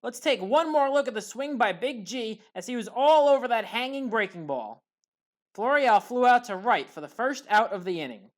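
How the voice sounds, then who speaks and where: A woman with a fairly high voice narrates sports highlights. She reads scripted play-by-play as a commentator recapping the action.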